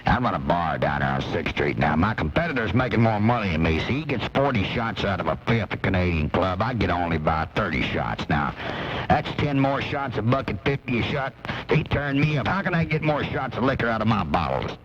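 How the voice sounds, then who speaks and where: A man's voice: on the low side, sing-song, a little fast, faint music behind. A man playing a plainspoken, grumbling bar owner who rambles hurriedly as a caller in a comedy radio sketch. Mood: grumbling, puzzled. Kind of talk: comic radio call-in sketch. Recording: muffled off-air cassette.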